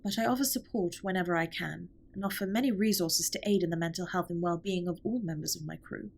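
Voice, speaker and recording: A woman playing a formal, earnest, caring alien spaceship captain in a scripted comfort roleplay, speaking softly and breathily with measured, precise wording. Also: fairly low pitch, gravelly. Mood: earnest, supportive. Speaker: audio roleplay performer. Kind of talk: scripted comfort roleplay, intimate one-sided dialogue.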